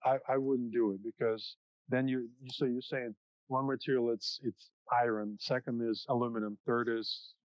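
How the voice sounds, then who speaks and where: Halting man explaining sound technicalities. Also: mid-range voice, steady tone, dry recording. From Soviet Union, raised in the US. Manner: halting, frequent restarts while explaining. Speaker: music producer guest. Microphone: dynamic studio mic up close. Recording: dull, dry podcast recording.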